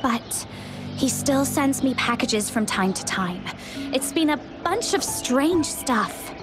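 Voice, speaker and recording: Young game heroine, high-pitched, earnest, worried yet plucky, slightly hesitant, music in background. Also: female voice, lively intonation, slow pace. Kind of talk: video game character dialogue.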